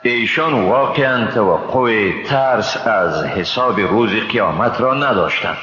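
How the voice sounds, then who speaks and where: A man's voice: on the low side, over music. A man with an Afghan Dari accent, solemnly reading a translation of scripture. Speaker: translation reader. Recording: echoey, long reverb, dull and noisy.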